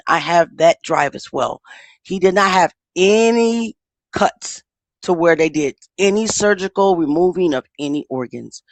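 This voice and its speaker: A woman's voice: fairly low pitch, dry recording. A woman host with a speech impediment giving casual commentary, stuttering and repeating words in a heated, insistent way. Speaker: channel host.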